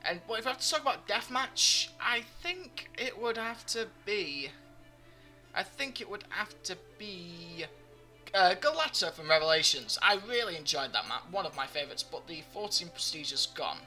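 A man's voice: sits high, big pitch swings, moderate pace, pushed and bright, faint music behind. A young man giving live gameplay commentary, chatty and joking, his voice slightly groggy. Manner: chatty, joking, talks to himself while playing. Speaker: gaming commentator.

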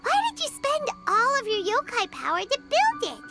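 A woman voicing a tiny, childlike, excitable fairy companion in a video game, squeaky and blunt, full of exclamations. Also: moderate pace, heavily acted. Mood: blunt, curious. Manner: refers to self in third person, exclaiming. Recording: music playing behind the voice.

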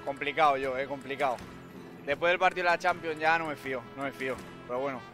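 A man's voice: mid-range voice, fast talker, sharp tone, soft background music. A man, a football fan, giving a short casual street interview outdoors.

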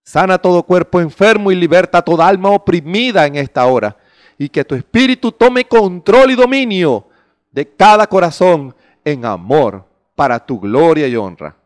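A man's voice: high voice, animated, normal speed, dry recording, studio quality. A middle-aged man preaching a sermon into a handheld mic on a small indoor stage, emphatic and full of rhetorical questions.

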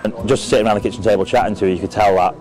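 A man's voice: lowish voice, steady tone, very fast. An English man in his thirties, a farmer giving an interview testimonial in a cattle shed. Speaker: dairy farmer. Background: background music.

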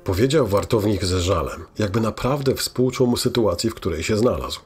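A man narrating a scripted fantasy audio drama in a measured, dramatic storytelling manner. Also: fairly low pitch, lively intonation, breathy edge, soft background music.

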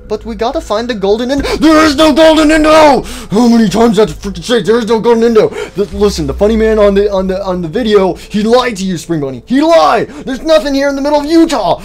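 A man voicing a short-tempered male travel companion who shouts in exasperation during a playful game roleplay. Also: high-pitched, animated, a little fast, hardly any pauses, pitched above the performer's own voice. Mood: angry, yelling.

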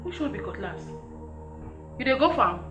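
A woman's voice: sits high, sing-song, normal speed. An actress playing an impatient, demanding Nigerian mother in a film drama, speaking in Pidgin. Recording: echoing room, music underneath.

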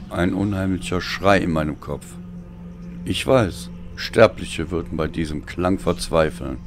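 A man reading a dark audio story as a dramatic narrator, with a brooding, solemn delivery. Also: deep voice, unhurried, music in the background. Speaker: audiobook reader.

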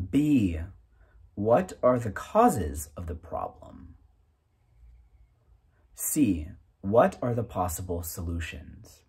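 A man in his thirties reading a self-help booklet aloud in a deliberate, item-by-item way with pauses. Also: lowish voice, a little mellow, slightly rough. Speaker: reader.

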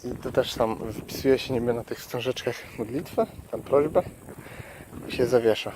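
A man giving casual, halting travel vlog commentary outdoors, often searching for his words. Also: mid-range voice. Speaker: travel vlogger. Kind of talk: casual vlog commentary while showing sights. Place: outdoors by a lake and stream. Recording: loud wind and water noise outdoors. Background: wind, water noise.

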